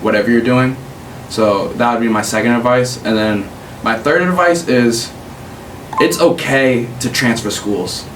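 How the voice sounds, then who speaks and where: A young man talks in a relaxed, meandering way, leaning on fillers as he hands out advice. He sounds a bit far from the mic. The room has a noticeable echo and a faint hiss. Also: medium pitch, measured pace. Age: young adult. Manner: laid-back, rambling advice with many fillers. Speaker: podcast host. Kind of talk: casual chat.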